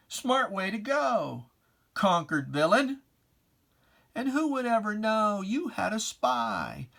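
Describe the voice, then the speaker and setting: A person giving a dramatic reading of religious verse in a theatrical, deliberate, taunting manner with pointed pauses. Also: medium pitch, vocal fry, fairly bright tone. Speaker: poetry reader.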